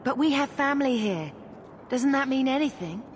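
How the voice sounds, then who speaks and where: A woman's voice: sits high, lively intonation, normal speed, a little hiss or hum. A woman playing an earnest young refugee daughter in a video game, pleading as she speaks. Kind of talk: dramatic video game dialogue.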